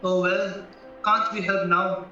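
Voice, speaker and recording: A man's voice: high voice, flat delivery, takes it slowly, pushed and bright, heavily acted. A man playing an irritable senior barrister in an audio play, formal and questioning in manner. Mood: businesslike, probing. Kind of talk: stage-style audio play acting. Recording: very echoey, distant, muffled, music behind.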